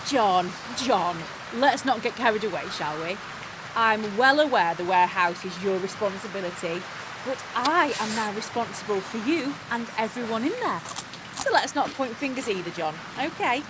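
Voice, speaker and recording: A woman's voice: medium pitch, animated, normal speed, lightly acted. An actress playing a bossy, self-important, gossiping woman manager in an audio comedy drama, officious and patronising. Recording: clear rain and outdoor ambience noise.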